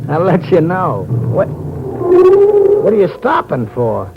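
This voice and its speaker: An actor playing a sly, needling ship's purser turned blackmailer in a 1950s radio drama. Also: male voice, sits high, huge pitch swings, extremely slow, vocal fry, heavily acted. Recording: muffled old radio broadcast with loud hiss.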